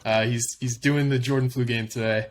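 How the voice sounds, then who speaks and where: A man's voice: mid-range voice, steady tone, brisk, a little hiss or hum. Joking man hosting a sports podcast. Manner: jokey, teasing banter. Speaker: podcast host. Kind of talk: casual sports chat.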